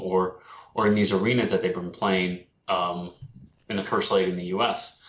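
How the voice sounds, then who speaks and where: A man with a fairly low voice chats casually, circling back over his own phrases in a rambling way. He sounds as if in a reverberant room, on slightly muffled audio. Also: a bit bright. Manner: repetitive, rambling. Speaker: panelist on a fan discussion. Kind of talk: casual chat. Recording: echoey room, dull lo-fi audio.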